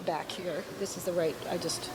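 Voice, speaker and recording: A woman's voice: fairly low pitch, steady tone, moderate pace. Woman coaxing an audience, noisy live recording. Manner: informal, coaxing, demonstrating responses. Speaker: storybook fairy helper leading audience responses. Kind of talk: casual live instructions to an all-ages audience. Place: live gathering before an audience.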